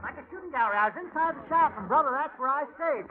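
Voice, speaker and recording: An American actor playing an excitable, chattering street bystander in an old radio drama. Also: male voice, extremely high pitch, quick pace. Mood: excited. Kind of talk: 1940s radio acting. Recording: dull old radio recording, crowd behind.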